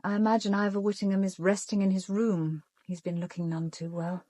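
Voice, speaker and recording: A woman's voice: medium pitch, little pitch movement, brisk, mellow, no echo, lightly acted. An actress playing a cool, observant English woman detective in a radio drama, composed and matter-of-fact. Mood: cool, frank. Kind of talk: British radio drama acting.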